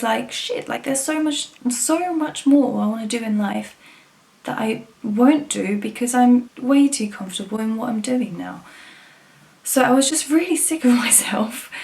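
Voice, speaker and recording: A woman's voice: on the high side, a little mellow. A woman, talking frankly and casually to camera, swearing now and then. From England. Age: 20s. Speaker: vlogger.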